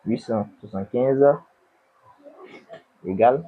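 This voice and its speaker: A man with a cold, tutoring an econometrics exercise and dictating numbers slowly while asking himself questions. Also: mid-range voice, breathy edge, narrow, tinny audio. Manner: slow, dictating numbers, asks and answers himself. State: has a cold. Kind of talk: screen-recorded worked exercise.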